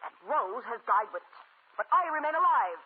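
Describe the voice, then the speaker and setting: A woman's voice: fairly high pitch, big pitch swings, brisk, breathy edge. An actress playing a scheming, desperate, imperious young woman in melodramatic old-time radio horror. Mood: haughty, scornful. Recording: tinny, lightly noisy old radio recording.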